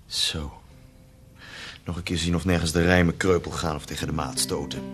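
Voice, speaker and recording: An actor playing a brooding young poet in a radio play, speaking softly and singing verse to guitar. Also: male voice, flat delivery, normal speed, natural voice. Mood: musing. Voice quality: soft, low-spoken when writing, sings verse to guitar. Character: poet Bredero, man, young adult, brooding, wry. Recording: music playing behind voice. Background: guitar music.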